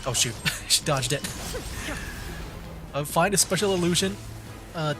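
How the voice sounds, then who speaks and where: A man's voice: a little high, measured pace. A man streaming a video game with casual live commentary, musing and often trailing off mid-thought. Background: game music and sound.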